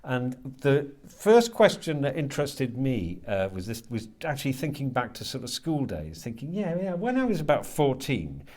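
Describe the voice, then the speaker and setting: A man answering interview questions as a writer and lecturer, thinking aloud haltingly and often repeating words as he works out his ideas. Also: mid-range voice, huge pitch swings, a little mellow. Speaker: guest, creative writing lecturer and writer.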